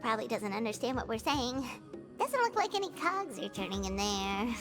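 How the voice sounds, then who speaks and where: A woman voicing a tiny, excitable, childlike fairy companion in a video game, squeaky and chatty, full of exclamations. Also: moderate pace, music in the background. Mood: curious, musing. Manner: exclamatory, speaks of self in third person. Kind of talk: cartoonish video game voice acting.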